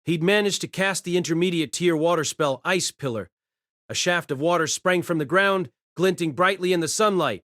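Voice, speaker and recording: A dry, close recording of a man as a young mage telling his own story. His voice sits fairly high, with a wry, conversational way of explaining things in an audiobook drama. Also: moderate pace, a bit bright, dry recording. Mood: wry narration. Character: young boy mage narrating his reincarnated life, wry and self-deprecating. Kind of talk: first-person audiobook narration with acted dialogue.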